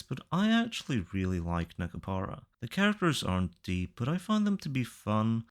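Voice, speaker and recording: A man giving casual opinion commentary through a ranked list in a matter-of-fact, measured way. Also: on the low side. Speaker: video reviewer.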